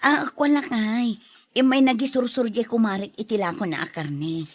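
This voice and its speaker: A woman's voice: on the high side, moderate pace, natural voice. An actress playing a patient, worried wife and mother in a radio drama, speaking with an Ilocano accent. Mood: evasive. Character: patient, worried housewife and mother, respectful, careful.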